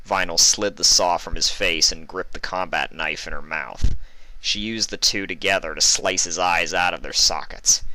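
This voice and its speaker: A man's voice: low voice, bright, forceful tone, dry recording, low-quality recording. A man reading a story aloud as a dramatic narrator. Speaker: fan fiction reader.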